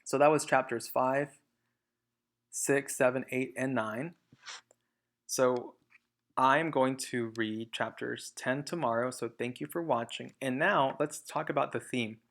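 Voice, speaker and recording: A man's voice: medium pitch, takes it slowly, fairly bright tone. A man reading a children's storybook aloud, narrating and explaining to young listeners. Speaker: storybook reader.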